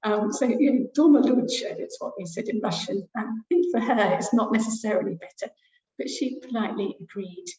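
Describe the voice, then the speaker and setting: A middle-aged woman lecturer giving an academic webinar talk over a video call, pausing often with fillers as she thinks aloud. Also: fairly high pitch, animated, warm tone. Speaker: university lecturer presenting research. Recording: roomy, dull lo-fi webinar audio.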